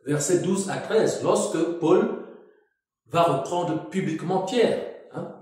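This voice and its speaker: A man's voice: on the high side, a little mellow. Cameroon-born preacher guy, fired up and arguing, lots of echo. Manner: indignant, argumentative, emphatic. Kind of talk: sermon rebuttal. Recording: strong echo.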